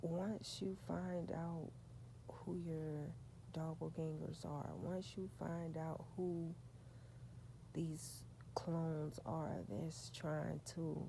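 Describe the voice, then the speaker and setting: A woman, telling a spiritual story in a slow, confiding way that often drops to a whisper. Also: low voice, little pitch movement, vocal fry, a little hiss or hum, rough recording. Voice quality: soft voice. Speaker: vlogger.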